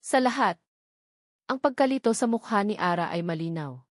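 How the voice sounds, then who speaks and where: A woman's voice: mid-range voice, expressive, moderate pace, no room sound. Filipina-accented woman narrating a dramatic story, dry sound.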